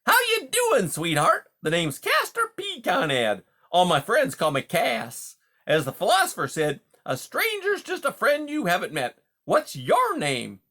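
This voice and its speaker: A huge male beaver from a storybook, pitched high and swooping widely. He is a pushy, smooth-talking bully, brash and folksy, and he bulldozes through every conversation. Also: wildly swooping pitch, measured pace, sharp tone, full character voice. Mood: brash, over-friendly. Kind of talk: audiobook character dialogue.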